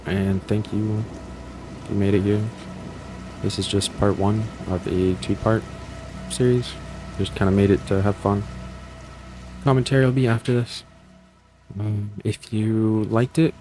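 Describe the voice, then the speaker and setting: A man's voice: fairly low pitch, level tone, measured pace, long pauses, over music. A man giving casual, unscripted commentary as a channel host, in a hesitant, rambling way.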